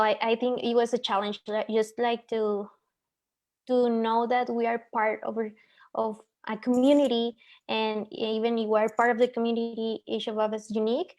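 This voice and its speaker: Spanish-accented woman, flat earnest delivery. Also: a little high. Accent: Mexican Spanish. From northern Mexico. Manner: earnest, reflective, some hesitant restarts. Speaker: panelist. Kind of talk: webinar panel discussion.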